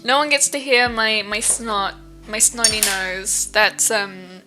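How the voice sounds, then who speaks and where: A woman's voice: medium pitch, steady tone, slow pace, pushed and bright, faint music behind. A woman streaming, chatting casually with viewers, rambling with lots of fillers and a stuffy nose from hay fever.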